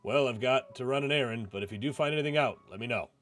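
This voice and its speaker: A man's voice: medium pitch, quick pace, fairly bright tone, voice pushed up, close to an everyday voice. A man voicing a friendly, concerned office boss in a visual novel, informal and a little hesitant. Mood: brisk. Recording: music playing behind the voice.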